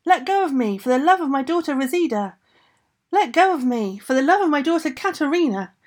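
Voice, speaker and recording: A woman voicing a ravenous ogress mother who bellows in shrill, drawn-out cries. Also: creaky, sharp tone, slightly rough, exaggerated voice. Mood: shrieking.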